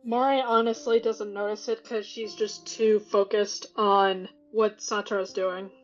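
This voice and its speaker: A woman's voice: mid-range voice, flat delivery, unhurried. A woman playing a tabletop roleplaying game, casually narrating and speaking for her own character. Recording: dull lo-fi mic, music underneath.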